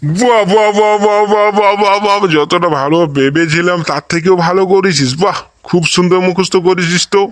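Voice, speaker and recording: A man's voice: high voice, fairly quick, close to an everyday voice. A man playing an encouraging village schoolteacher in a comic cartoon, repeating his words with emphasis. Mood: delighted, praising. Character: encouraging male village schoolteacher, lavish with praise. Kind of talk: funny cartoon voice acting.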